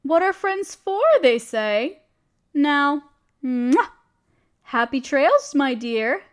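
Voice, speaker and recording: A woman's voice: high-pitched, lively intonation, very slow, full character voice. A woman playing a friendly, genteel witch who chats kindly in quaint, dainty phrases, in an audio roleplay. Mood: fond. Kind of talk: scripted audio roleplay speaking to the listener.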